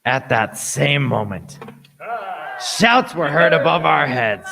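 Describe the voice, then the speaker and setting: A man's voice: on the high side, lively intonation, takes it slowly, vocal fry, background noise, heavily acted. A man playing an earnest adventurer who narrates his tale in a radio play with dramatic storytelling. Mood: earnest, recounting. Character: earnest grown Jim Hawkins recalling his boyhood adventure.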